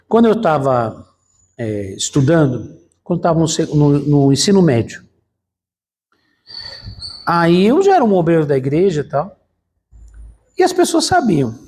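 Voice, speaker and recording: A man's voice: fairly high pitch, darkish tone. A pastor gives a sermon in a church. He speaks conversationally, leaning on fillers and rhetorical questions, and keeps turning to the congregation as brothers.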